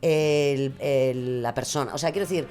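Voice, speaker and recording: A woman's voice: very deep voice, measured pace, dark tone, faint music behind. A woman with a Spain Spanish accent chatting casually, in a colloquial, emphatic, jokey way. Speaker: podcast guest, true crime narrator. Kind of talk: casual chat.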